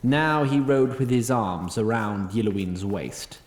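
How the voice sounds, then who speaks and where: A man's voice: lowish voice, measured pace, darkish tone, echoey room, slight background noise. A man narrating a fantasy audio drama from a script, dry, formal and wry.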